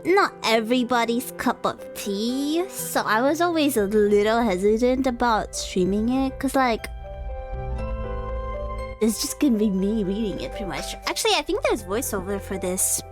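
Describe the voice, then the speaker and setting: A woman's voice: on the high side, animated, moderate pace, soft background music. A young woman live streaming a game, chatting casually and hesitantly, rambling as if talking to herself. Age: young adult.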